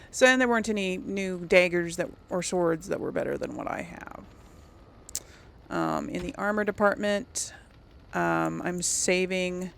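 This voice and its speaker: Woman gamer with creaky voice, chatting casually. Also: on the low side, a little hiss or hum. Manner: rambling, thinking aloud. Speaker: let's play host. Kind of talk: casual gameplay commentary, talking to viewers.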